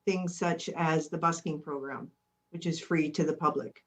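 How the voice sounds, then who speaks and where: Woman, eager candidate speech, lowish voice. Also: fairly even intonation, moderate pace, a bit bright. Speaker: council candidate.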